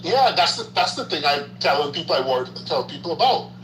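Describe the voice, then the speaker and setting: A man's voice: fairly high pitch, sing-song, a little fast, fairly bright tone, soft background music. A man co-hosting a livestream in casual political chat, speaking emphatically, repeating his points and dropping in slangy asides. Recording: roomy echo.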